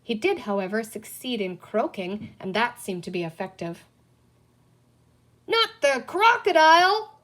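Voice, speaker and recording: A woman reads a children's story aloud at a middling pitch. Her delivery is scripted, expressive narration. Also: moderate pace, few pauses. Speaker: reader of a children's book.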